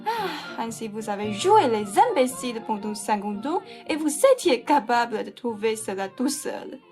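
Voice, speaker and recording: A woman's voice: a little high, expressive, normal speed, darkish tone, soft background music, lightly acted. A young woman playing a world-weary, theatrical rich older lady in a French radio farce, with dramatic sighs. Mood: wistful. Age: young adult. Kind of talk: French stage farce performed as student radio play.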